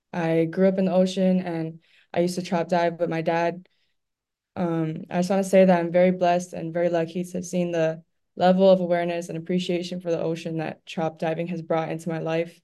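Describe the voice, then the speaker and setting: A woman's voice: on the low side, flat delivery, brisk, warm tone, clear, resonant tone. A woman from Hawaii giving earnest, prepared public testimony over a video call. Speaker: public commenter.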